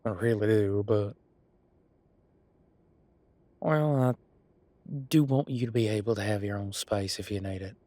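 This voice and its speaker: Low, slow-talking werewolf bodyguard played by a man. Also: fairly even intonation, dark tone, dry recording, close to an everyday voice. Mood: tender, reassuring. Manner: casual, folksy, halting, teasing. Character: male werewolf bodyguard, rough-edged, affectionate, easygoing. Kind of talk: intimate roleplay audio drama.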